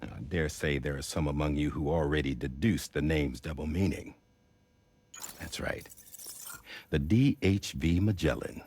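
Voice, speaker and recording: Deep-voiced, commanding game mastermind. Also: male voice, a little mellow, slightly rough, full character voice. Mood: confident, explaining. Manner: measured, deliberate, theatrical. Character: calm, commanding male ex-director revealing a secret plan. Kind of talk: dramatic video game cutscene acting.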